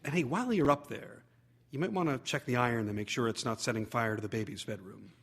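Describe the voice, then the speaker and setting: A man's voice: medium pitch, fast talker, creaky, fairly bright tone, slightly rough, voice pushed down. A man voicing a nagging, obsessive inner voice in a story, insinuating and falsely casual. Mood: needling.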